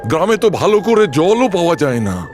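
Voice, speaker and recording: A man's voice: high-pitched, very animated, brisk, a little mellow, faint music behind. A man voicing a worried, apologetic village chief and father in a cartoon, earnest and troubled. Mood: worried, urgent. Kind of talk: cartoon voice acting.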